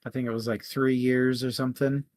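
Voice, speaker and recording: A young man co-hosting a casual book discussion show, welcoming viewers in a relaxed way and laughing easily. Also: mid-range voice, fairly quick, no echo, studio quality. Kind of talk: casual chat.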